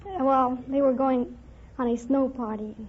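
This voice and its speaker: A woman's voice: high-pitched, steady tone, unhurried, breathy edge. A young woman answering questions as a quiz show contestant on an old radio recording. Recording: dull vintage radio, faint hiss.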